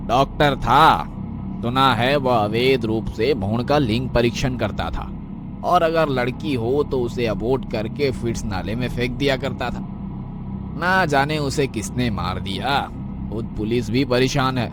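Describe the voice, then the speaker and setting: A man playing a moralizing, matter-of-fact bystander in an animated horror story. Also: mid-range voice, measured pace, background noise, natural voice. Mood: gossiping, moralizing. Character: gossiping male bystander in a crowd.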